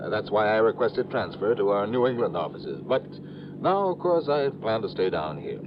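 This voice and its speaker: A man's voice: on the low side, sing-song, normal speed. An actor playing a prim, snooty, earnest male insurance agent in a mid-century radio drama, formal and hesitant in his speech. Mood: persuasive. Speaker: supporting actor in a radio serial. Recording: muffled, noisy old radio broadcast.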